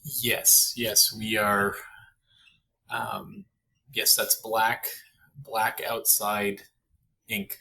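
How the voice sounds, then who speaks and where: A man's voice: on the low side, level tone, extremely slow, vocal fry, pauses often, sharp tone, a little hiss or hum, rough recording. A man from Canada hosting a podcast interview, talking in a goofy, rambling way and laughing often. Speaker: podcast host. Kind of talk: casual interview.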